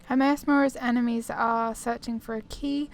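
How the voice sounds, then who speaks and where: A woman's voice: on the high side, steady tone, normal speed, faint music behind. A woman doing casual video game playthrough commentary, chatty and self-deprecating as she thinks aloud. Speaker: gaming YouTuber.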